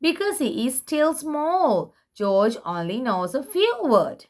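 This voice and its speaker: A woman presenting and reading picture books for toddlers, speaking simply and deliberately, word by word. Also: high voice, sing-song. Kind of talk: slow read-aloud for toddlers.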